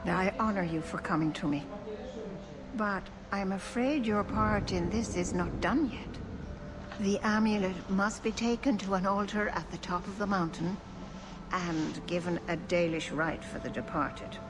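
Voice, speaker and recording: An actress playing a wise, cryptic older elf keeper in a fantasy game, speaking in a measured, solemn way. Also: female voice, medium pitch, close to an everyday voice. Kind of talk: fantasy video game acting. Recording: noisy game capture.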